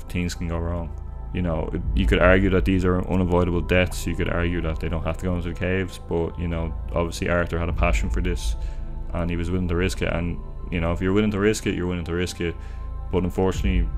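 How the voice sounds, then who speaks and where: A young man from Dublin with a Dublin Irish accent, narrating a true story in an earnest, chatty way from a small room at home. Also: sits low. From Dublin, Ireland. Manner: earnest, chatty commentary with restarts. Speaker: YouTube storyteller. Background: faint music.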